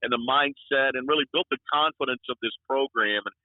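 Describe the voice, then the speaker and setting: A man's voice: mid-range voice, brisk, no room sound. Folksy guy on a tinny phone call, chatty, kinda repeats himself. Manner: folksy, talkative, repeats words as he thinks. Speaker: radio play-by-play announcer, interview guest. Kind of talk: phone interview. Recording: tinny dry phone line.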